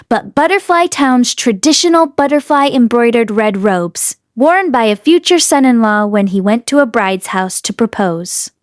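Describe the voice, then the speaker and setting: A woman reading an audiobook as dramatic storytelling, with expressive, emotive delivery. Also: a little high, no room sound, studio quality. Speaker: audiobook reader.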